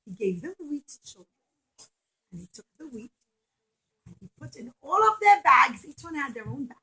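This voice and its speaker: High-pitched woman storyteller for young children. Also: sing-song, moderate pace, sharp tone, low-quality recording. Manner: simple, repetitive, emphatic phrasing.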